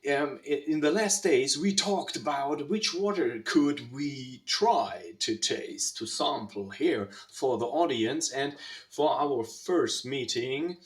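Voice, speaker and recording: A man with a German accent hosting a casual online panel in a deliberate, halting way. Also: mid-range voice. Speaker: host. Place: online video call.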